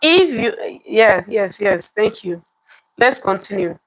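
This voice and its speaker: A woman's voice: mid-range voice, expressive, slow, no room sound, poor audio. A woman telling a folktale live, repeating lines for emphasis and putting questions to her listeners. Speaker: live storytelling host. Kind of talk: live interactive folktale storytelling, addressing viewers.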